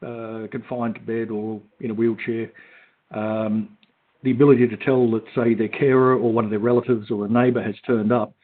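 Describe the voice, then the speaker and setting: A man hosting an online session gives measured, encouraging feedback on a student's project. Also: mid-range voice, little pitch movement. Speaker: session host giving feedback. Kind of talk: feedback on a video call. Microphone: video call. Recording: tinny phone-like call audio.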